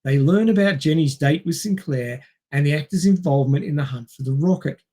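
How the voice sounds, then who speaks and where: Higher-pitched male podcast co-host, wry. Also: fairly quick, warm tone, breathy edge. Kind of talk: reading a film plot summary with wry asides and casual chat.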